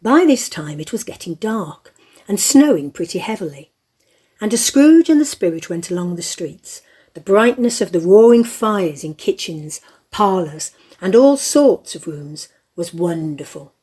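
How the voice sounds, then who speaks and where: A woman narrating a scripted story for an audio play, reading in a measured, expressive way. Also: on the low side, husky.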